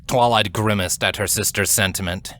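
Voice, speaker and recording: Low, bright-voiced man narrating dramatic fiction. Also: fairly even intonation, moderate pace. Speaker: audiobook-style fan fiction narrator. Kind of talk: scripted dramatic story narration.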